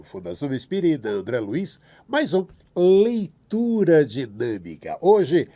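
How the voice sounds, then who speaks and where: A man hosting a radio and TV book program, introducing it with a deliberate, formal announcer delivery. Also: fairly high pitch, warm tone.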